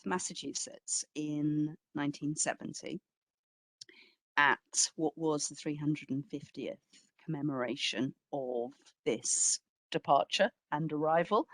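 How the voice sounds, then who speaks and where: Casting: woman guest speaker, low register, unhurried, explanatory storyteller with emphatic phrasing, close dry sound. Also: no echo. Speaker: exhibition curator, guest speaker. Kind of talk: answering an audience question in an online talk.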